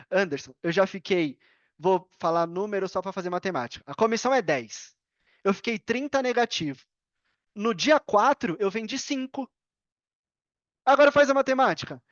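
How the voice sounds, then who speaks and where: A Brazilian woman talks casually as a podcast guest, opinionated and emphatic. She repeats her key points and answers her own questions. The sound is close and dry, a little muffled, at an average pitch. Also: expressive, moderate pace, no echo. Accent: Brazilian Portuguese. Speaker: podcast guest, affiliate marketer. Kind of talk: casual podcast talk, explaining with examples.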